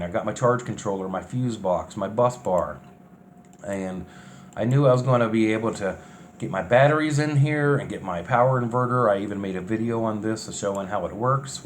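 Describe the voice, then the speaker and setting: A man giving casual, unscripted narration over DIY build footage in a folksy, colloquial way. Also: lowish voice. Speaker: vlogger recapping a DIY bus conversion. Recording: clear steady background noise, ambience.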